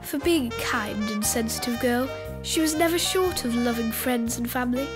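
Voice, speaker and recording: Over clear background music, a female performer voices a storyteller closing a children's tale. The voice is high and young-sounding, reading scripted radio play narration. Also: normal speed, close to an everyday voice. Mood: measured storytelling. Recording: music under the voice.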